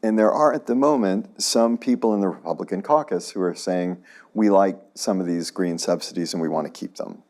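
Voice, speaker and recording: A man with a very low voice speaks on a university panel. He explains things in a deliberate, measured way and is careful with his predictions. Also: a little mellow. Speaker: law professor, panelist. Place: lecture hall with audience.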